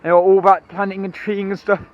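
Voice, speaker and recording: A hoarse young man, chatty and rambling as he walks through a theme park with his phone. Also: high-pitched, moderate pace, lo-fi sound. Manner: chatty, rambling, excitable, many ums. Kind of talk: walking vlog commentary.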